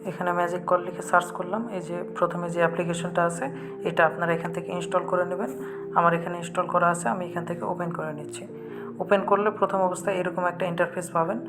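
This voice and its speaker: A man's voice: sits high, completely flat delivery, fast talker. A man with a Bangladeshi accent hosting a tech tutorial, explaining an app step by step in an instructional way. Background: background music.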